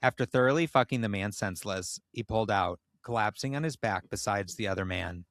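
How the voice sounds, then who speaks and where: Male podcast reader, lower-pitched, dry intimate recording, comedic dramatic storytelling. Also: a little fast, fairly bright tone, dry recording. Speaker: podcast co-host. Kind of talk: comedic dramatic reading of erotic fan fiction.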